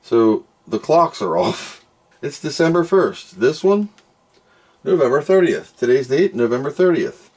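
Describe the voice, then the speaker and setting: Guy doing an unboxing and test, talking to himself as he goes, pitch moves around a lot. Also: big pitch swings, unhurried, a little mellow. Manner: muttering to himself while working, reading screens aloud. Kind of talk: casual off-the-cuff hands-on commentary.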